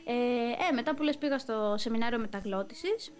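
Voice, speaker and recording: A woman's voice: a little high, expressive. A woman, chatting loosely and a little hesitantly as she answers in a casual interview. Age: 20s. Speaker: interviewee, voice actress and singer. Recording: loud music behind the voice. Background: music.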